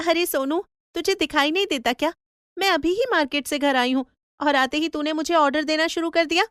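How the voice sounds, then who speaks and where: A woman voicing an excitable, hardworking mother in an animated story, with exclamatory, wondering delivery. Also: high-pitched, a little fast, no room sound, studio quality. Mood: exasperated. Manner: exclamatory, wondering, mildly scolding. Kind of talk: animated Hindi storybook acting.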